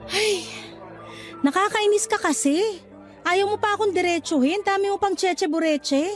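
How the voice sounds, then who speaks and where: A wounded young single mother in a radio drama, with a Filipino accent. Her voice is extremely high, guarded and exasperated, over faint music. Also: a little slow. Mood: irritated, doubting. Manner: exasperated, sarcastic. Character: hurt, skeptical young single mother, sharp-tongued and guarded. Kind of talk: Tagalog radio drama acting. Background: faint background music.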